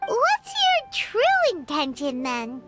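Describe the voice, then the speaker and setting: A woman voicing a tiny, blunt, childlike fairy companion in a video game, with a squeaky voice. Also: wildly swooping pitch, slow pace, loud music behind the voice, exaggerated voice. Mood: suspicious. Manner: childlike, refers to self by name.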